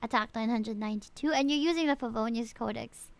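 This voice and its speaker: A woman live-streaming a game, chatting casually with viewers and reading out stats in an animated way, with frequent exclamations and asides. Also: fairly high pitch, fast, smooth. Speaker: live streamer reviewing players' game characters.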